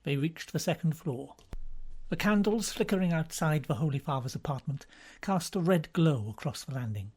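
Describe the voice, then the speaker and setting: A man's voice: a little high, expressive, normal speed, a little mellow, slightly rough. A man reads a novel aloud as scripted audiobook narration.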